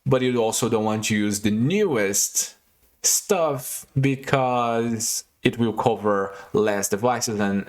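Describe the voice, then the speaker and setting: Young Brazilian-accented male tutor with a lowish voice, relaxed and hesitant, lots of ums while explaining. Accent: Brazilian Portuguese. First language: Portuguese. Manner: relaxed, hesitant with frequent fillers and restarts. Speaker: tutorial host. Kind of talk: casual screen-recorded coding tutorial.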